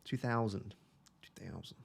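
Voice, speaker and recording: A young man hosting a live stream, chatting casually with his viewers in a flat, monotone, self-deprecating way. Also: on the low side, measured pace, vocal fry, slightly rough. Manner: self-deprecating, chatty, reads out chat messages. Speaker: livestream host.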